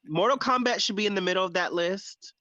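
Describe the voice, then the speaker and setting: A man's voice: sits high, fast talker, sharp tone, dry recording. A man arguing in a heated, casual panel debate with a sassy, emphatic manner. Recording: slightly muffled audio.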